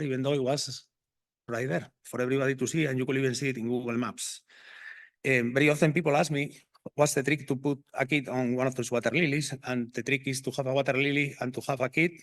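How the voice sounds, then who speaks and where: A man's voice: medium pitch, no echo, clean studio sound. A middle-aged man from Spain with a Spanish accent, giving an enthusiastic, rambling conference talk as a botanic garden horticulturist. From Spain, Asturias. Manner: enthusiastic, rambling, self-correcting.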